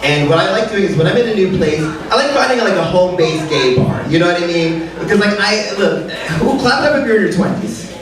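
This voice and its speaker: A man in his late thirties doing a live stand-up set, animated and exaggerated, swooping into high squeals. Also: a little fast, hardly any pauses, noisy background. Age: late 30s. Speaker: stand-up comedian. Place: comedy club stage, live audience. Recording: roomy club sound from a distance, crowd laughter.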